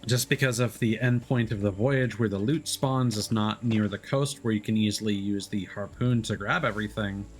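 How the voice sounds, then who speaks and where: A man's voice: lowish voice, normal speed, hardly any pauses. A man streaming a solo game, chatting and musing aloud, with mild complaints, over faint game music. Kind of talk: casual gameplay commentary.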